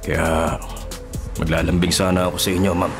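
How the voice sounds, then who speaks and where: A man's voice: low-pitched, level tone, normal speed, over music, natural voice. An actor playing a stubborn, middle-aged hitman and father in Filipino radio drama, speaking sternly and with menace. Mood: guarded, firm.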